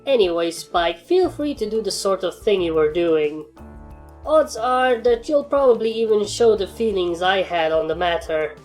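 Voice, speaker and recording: A world-weary alien living as a pony, acted out by a woman in an audio fan fiction. The delivery is dry, deadpan and matter-of-fact, at a middling pitch. Music plays underneath. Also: moderate pace, lightly acted. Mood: dry, resigned. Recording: music behind the voice.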